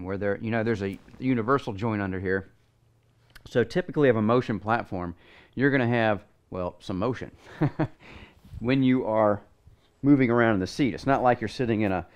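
Middle-aged male reviewer, lowish voice, easygoing and rambling, garage workshop setting. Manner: relaxed, rambling, thinks aloud with fillers. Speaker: product reviewer. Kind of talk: casual hands-on review. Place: home garage workshop, indoors.

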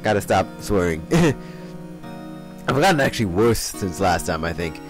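A young man with a hoarse voice, giving casual commentary on a game and rambling through laughing asides. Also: low-pitched, expressive, normal speed, faint music behind. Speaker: let's play host.

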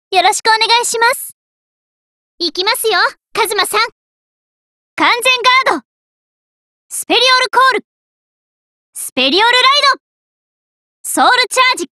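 A woman's voice: very high voice, pushed and bright, no room sound, studio quality, exaggerated voice. A woman voicing a cheerful, earnest teenage girl magician in an anime card game, sweet and eager. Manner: sweet, eager, emphatic called-out phrases.

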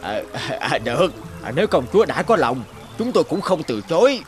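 A man's voice: a little high, very animated, a little fast, soft background music. A man voicing a bumbling, gullible enemy henchman with a hesitant, stammering manner in a cartoon. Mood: hesitant, obliging. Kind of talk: cartoon folk tale dialogue.

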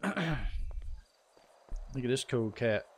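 A man's voice: mid-range voice, animated, extremely slow, slight background noise. A man chatting casually and folksily as he hosts a live gaming stream, saying y'all. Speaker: livestream host. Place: voice chat during an online game stream.

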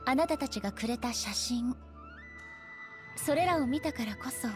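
A regal goddess ruler in an anime game. Her voice sits fairly high, yet she is solemn, formal and composed. Faint music plays behind her. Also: animated, moderate pace. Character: dignified, solemn female ruler deity. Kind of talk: Japanese anime game voice acting.

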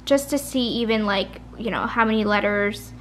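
A tired woman vlogging late at night from her kitchen table, talking softly with a slight rasp and rambling in a chatty way. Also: mid-range voice, normal speed, slight background noise, rough recording. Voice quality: soft, a little raspy. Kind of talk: casual chat. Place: kitchen at home. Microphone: camera at a kitchen table.

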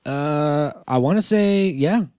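A man's voice: fairly high pitch, animated, takes it slowly, a little mellow, clear, resonant tone, no room sound, studio quality. A man hosting a podcast in casual banter, joking and swearing freely. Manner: joking, profane. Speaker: podcast host.

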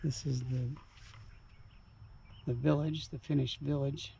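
Man, vlog host, gravelly mellow voice, wonder-struck tour commentary, action-camera mic. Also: medium pitch, fairly even intonation, slow pace, slight background noise. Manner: marvelling, keeps saying wow, reads signs aloud. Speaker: vlogger. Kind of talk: casual walking-tour commentary. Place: small museum and outdoor historic village. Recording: slightly dull action-camera audio.

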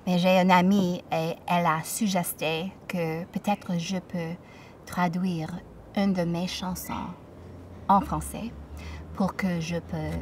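A woman in her thirties, a native English speaker from Toronto with an English Canadian accent, speaking in a hesitant, soft-spoken way with many pauses. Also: fairly low pitch, fairly even intonation, distant mic, slight background noise. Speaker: singer-songwriter. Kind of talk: casual spoken introduction. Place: outdoor backyard deck. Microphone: studio mic with pop filter, slightly off-axis.

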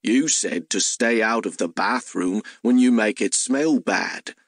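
Guy doing a big dumb hungry hellhound, talks real slow n literal, audiobook style. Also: fairly low pitch, no room sound, studio quality. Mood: earnest, simple. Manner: slow, childlike literal phrasing. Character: male talking hellhound, simple-minded, literal, food-obsessed.

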